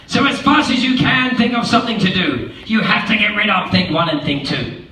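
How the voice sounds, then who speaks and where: Man doing a squealing fretful fish, very high, sharp tone, far-off hall echo. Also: sing-song, normal speed, a little hiss or hum, exaggerated voice. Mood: panicked. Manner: shrill, fussy and alarmed. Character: panicky fretful pet fish, male. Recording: echoey theatre heard from far off.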